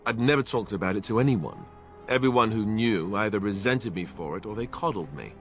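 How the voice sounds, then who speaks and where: An actor playing an awkward, earnest young warrior in a fantasy video game, speaking in a hesitant, self-effacing, conversational way. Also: male voice, fairly low pitch, a little fast, fairly bright tone, faint music behind. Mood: resigned, explanatory. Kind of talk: video game cutscene acting.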